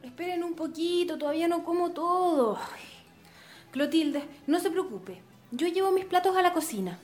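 A young Chilean woman of a landed family played by an actress in radio theatre. She speaks in a high voice, earnest, idealistic and direct, with soft music behind her. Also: lively intonation, normal speed. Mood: gentle protest. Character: earnest, idealistic young daughter of a rural landowner. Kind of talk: radio drama acting.

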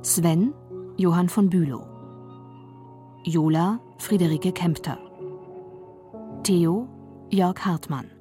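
A woman announcer reading scripted radio play credits in a measured way. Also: lowish voice, dark tone, soft background music.

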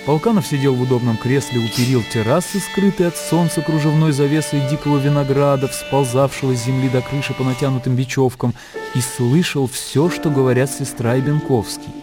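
A man narrating literary fiction for a radio drama in a measured, expressive storytelling manner. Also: medium pitch. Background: music.

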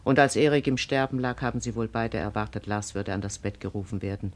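An actress playing a proud, grave older farm mistress in a German radio play, speaking earnestly and deliberately, with quiet command. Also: female voice, extremely low pitch, flat delivery. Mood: earnest, confiding. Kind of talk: 1950s German radio drama acting.

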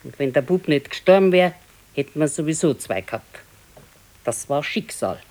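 Terse, stoic old Bavarian wife. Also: female voice, sits low, normal speed. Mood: dry, reproachful. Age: older. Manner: terse, matter-of-fact, flatly contradicting. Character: stoic, matter-of-fact old wife, dryly scolding. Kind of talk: naturalistic radio play dialogue.

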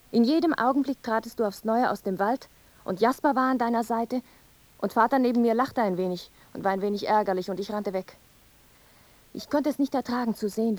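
A woman's voice: fairly high pitch, brisk, no echo, lightly acted. A woman playing a mournful, visionary young wife in a poetic radio play, with solemn, incantatory delivery. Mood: anguished.

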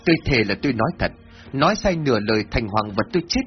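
A man voicing a frightened male villager who begs and swears his innocence in a high, whimpering voice. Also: sing-song, quick pace, lightly acted. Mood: desperate. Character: fearful male villager, pleading and sobbing. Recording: dull lo-fi with faint music.

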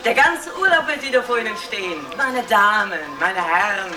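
An actress playing a gushing store wine promoter delivering rehearsed sales patter in a radio play. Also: female voice, sing-song, normal speed, crowd noise, lightly acted. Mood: sales-sweet. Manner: honeyed, rehearsed sales pitch. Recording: echoey, distant from the mic.

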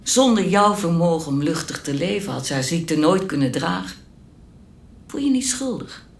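Creaky-voiced, solemn woman medium. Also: fairly low pitch, lively intonation. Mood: reassuring. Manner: solemn, measured, reassuring. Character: calm, assured spiritual medium giving guidance. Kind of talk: radio drama acting. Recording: echoey room with faint noise.

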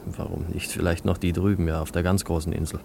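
A man playing a wistful, shy young suitor in a German radio play, speaking in a halting, pensive way. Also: low-pitched, level tone, gravelly, a little mellow, a little hiss or hum. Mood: wistful, hushed. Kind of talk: 1950s-set German radio play acting.

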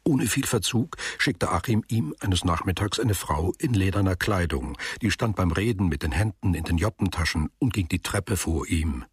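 A man narrating a literary radio drama as a sober, detached storyteller, with a deep and very husky voice. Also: expressive, normal speed, hardly any pauses, no echo, studio quality, full character voice.

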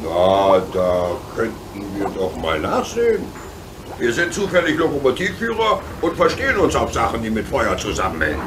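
An easygoing, fatherly engine driver in a children's radio play. The delivery swings freely in pitch and is reassuring, with thoughtful pauses. Clear noise sits behind the voice. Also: wildly swooping pitch. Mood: curious, helpful. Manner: easygoing, avuncular, hesitant pauses. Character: jovial, reassuring adult male locomotive driver. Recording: noisy background with hiss.

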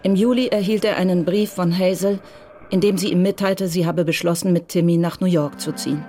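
A woman narrating a radio crime drama in a calm, measured way. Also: fairly low pitch.

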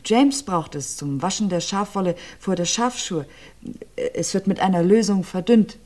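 An actress playing an abused widow being questioned about her husband's death, with hesitant, halting answers that often sink to a near whisper. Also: a little mellow, natural voice. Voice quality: low, subdued, often drops to a near whisper. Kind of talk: radio crime play.

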